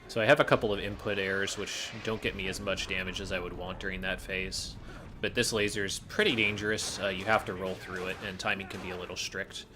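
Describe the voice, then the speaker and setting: A man's voice: low voice, steady tone, brisk, background noise. A man giving explanatory gameplay commentary as a speedrunner, matter-of-fact and instructive. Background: faint game music.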